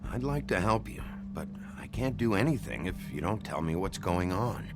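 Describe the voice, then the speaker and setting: A man playing a weary, gruff, blunt fairytale investigator in a noir video game. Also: low voice, animated, normal speed, over music. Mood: firm, pressing. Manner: blunt, dry.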